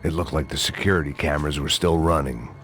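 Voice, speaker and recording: An actor playing a sardonic, middle-aged American ex-cop who narrates a noir action game in a deadpan, bitter way. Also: male voice, rumbling low, quick pace. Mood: weary, sardonic. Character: world-weary, sardonic middle-aged male ex-cop antihero. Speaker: narrator and protagonist. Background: loud dramatic game music.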